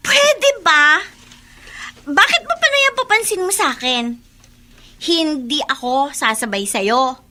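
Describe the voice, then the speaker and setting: A woman's voice: high-pitched, lively intonation, slow pace, pauses often, sharp tone, heavily acted. A woman with a Filipino accent playing a snobbish, haughty rich schoolgirl in a radio drama, sneering and sarcastic. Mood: scornful.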